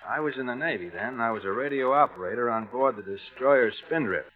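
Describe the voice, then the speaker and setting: A man playing an ex-Navy radio operator telling a yarn in a radio drama, slangy and halting, with an American accent. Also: mid-range voice, lively intonation, natural voice. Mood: intent storytelling. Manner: colloquial, halting. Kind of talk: old-time radio drama acting. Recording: muffled vintage radio broadcast, music behind.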